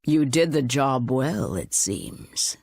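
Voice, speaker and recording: Slow, genteel old woman. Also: extremely low pitch, animated, vocal fry, darkish tone, husky, dry recording, clean studio sound, exaggerated voice. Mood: dry, matter-of-fact. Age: older. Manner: genteel, unhurried, addresses others as dear. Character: courteous, sly old woman, a shady informant. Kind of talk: English video game voice acting.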